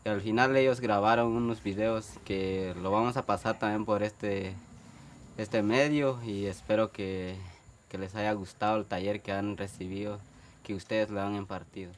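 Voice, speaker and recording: A man's voice: lowish voice, fairly even intonation, normal speed, slight background noise. A man co-presenting a community TV program, explaining plainly with some hesitation. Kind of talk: live community TV hosting.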